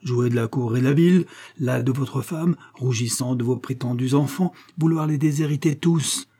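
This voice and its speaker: A man's voice: medium pitch, normal speed, warm tone, lightly acted. A man voicing an imperious, theatrical society lady who declaims scornfully in a comic audiobook. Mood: cursing, theatrical. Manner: declamatory, mock-tragic. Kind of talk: comic literary audiobook dialogue.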